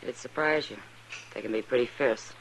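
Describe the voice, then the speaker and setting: A woman's voice: extremely low pitch, moderate pace, gravelly, slight background noise, rough recording. An American actress playing a worldly, sympathetic frontier woman in a 1950s Western radio drama, casual and conversational, on an old recording. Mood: wry, reflective.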